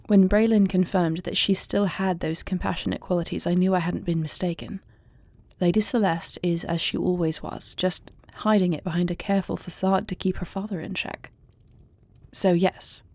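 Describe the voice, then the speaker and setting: Refined fantasy warrior princess and devoted wife, acted by a woman: earnest, measured, low and creaky, for an intimate audio roleplay. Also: darkish tone, no room sound. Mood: grave, reflective. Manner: formal, measured, eloquent diction.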